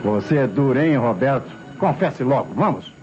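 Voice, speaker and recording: A man acting a pressing Brazilian police commissioner from a vintage radio mystery. He is sardonic and sceptical, with a mellow, very varied delivery. The old recording is dull, with light noise. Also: medium pitch, animated, moderate pace, close to an everyday voice. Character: insistent, sceptical male police commissioner questioning suspects.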